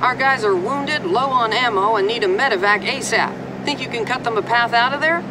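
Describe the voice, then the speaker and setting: An actress playing a dry-witted forward air controller with crisp, businesslike military delivery. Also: female voice, fairly high pitch, moderate pace, noisy background, close to an everyday voice. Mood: brisk, businesslike. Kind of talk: military audio drama acting.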